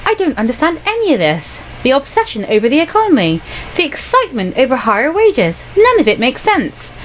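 Woman as young student girl, raised pitch, haughty, indignant, prim, visual novel heroine. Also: wildly swooping pitch, a little fast, slight background noise, rough recording. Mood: exasperated, dismissive.

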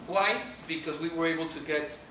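Man, Mexican accent, 40s, earnest speaker, fairly high voice, heard from afar. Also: fairly quick, pushed and bright. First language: Spanish. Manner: earnest, gesturing while speaking. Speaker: guest speaker. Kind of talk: motivational talk to students. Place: lecture room, indoors. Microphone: far camera. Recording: echoey room from far off, noisy.

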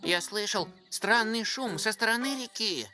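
An actor voicing a timid young animal in a dubbed children's cartoon, with an expressive, anxious delivery. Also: medium pitch, a little slow, natural voice. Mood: uneasy. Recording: music behind the voice.

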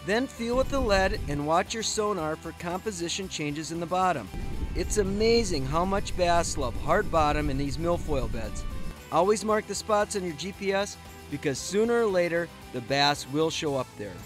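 Higher-pitched American man narrating fishing show. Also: animated, normal speed, music in the background. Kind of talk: scripted narration and on-the-water chat.

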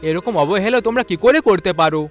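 A man's voice: high voice, lively intonation, quick pace, faint music behind, pitched above the performer's own voice. A man narrating a storybook, putting on a raised voice for a frightened young bridegroom who asks anxious, panicky questions.

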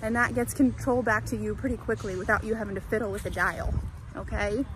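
A woman dog trainer explaining training methods in a clear, conversational way while walking a dog outdoors by a creek in a town center. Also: medium pitch, steady tone, fairly quick. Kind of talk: instructional walk-and-talk. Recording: outdoor street noise.

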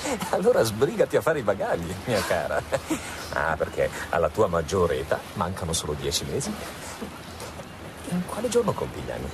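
Deep-voiced, animated suitor. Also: male voice, moderate pace. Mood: playful, eager. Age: 30s. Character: smooth-talking, reassuring engineer suitor around thirty. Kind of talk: Italian radio drama acting. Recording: radio drama with clear ambient noise.

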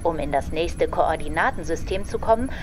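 A woman's voice: low voice, animated, moderate pace, music in the background. A woman playing a polite spaceship flight attendant, making a formal scripted passenger announcement in a radio play. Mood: polite, composed. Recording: noisy vehicle ambience behind announcement.

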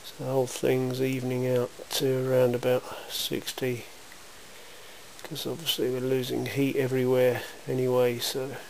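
A man giving an informal, matter-of-fact hands-on demonstration in a workshop, speaking in a measured way. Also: medium pitch, monotone, creaky, a little mellow. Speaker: welding demonstrator. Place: indoor cluttered workshop. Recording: hissy, noisy amateur camera sound.

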